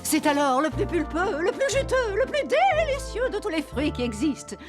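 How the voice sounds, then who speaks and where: A woman's voice: extremely high pitch, normal speed. Une maman conteuse animée. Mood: storytelling. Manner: storyteller, lively and expressive. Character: mother narrating a bedtime story. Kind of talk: cartoon storybook narration. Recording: voice over background music.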